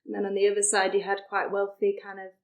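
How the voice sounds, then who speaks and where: Woman, Sheffield accent, young adult, chatty podcast guest, flat intonation. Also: mid-range voice, fast talker. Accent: Sheffield English. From Sheffield, England. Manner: chatty, many fillers and likes. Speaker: charity deputy director, podcast guest. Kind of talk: casual interview chat.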